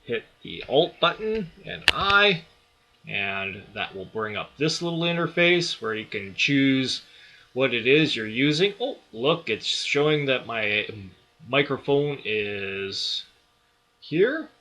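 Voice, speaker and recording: A man giving a software tutorial, explaining each step in an unhurried way with many fillers, speaking into a desktop studio microphone. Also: medium pitch, very animated. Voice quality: loud voice. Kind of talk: screen-recorded tutorial.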